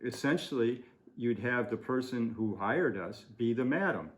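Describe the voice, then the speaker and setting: A man's voice: mid-range voice, expressive, normal speed. Man chiming in casually. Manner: brief interjections, adds details. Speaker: podcast co-host. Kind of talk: casual conversation.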